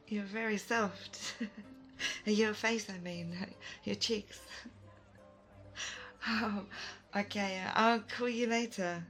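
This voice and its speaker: A woman playing a thoughtful, kind, self-doubting leader in an audio roleplay, soft-spoken, hesitant and gently earnest. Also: mid-range voice, fairly even intonation, extremely slow, pauses often, faint music behind. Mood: shy, warm. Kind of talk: immersive audio roleplay addressed to the listener.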